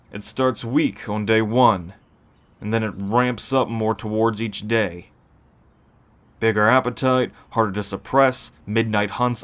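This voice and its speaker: A man playing a seasoned, calm werewolf who explains pack ways matter-of-factly in an ASMR roleplay. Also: on the low side.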